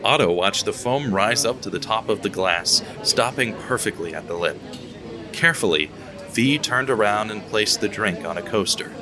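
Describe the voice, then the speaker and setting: A man narrating a scripted audio drama in a steady storytelling manner, over background music. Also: fairly low pitch, normal speed, a crowd in the background.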